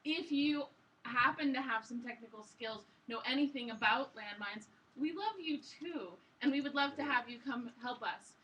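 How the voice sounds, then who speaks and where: Bright-voiced woman pitching on a distant mic. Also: normal speed, lo-fi sound. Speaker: project presenter. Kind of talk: informal live pitch to an audience. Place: live event room with audience. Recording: distant mic, faint background noise.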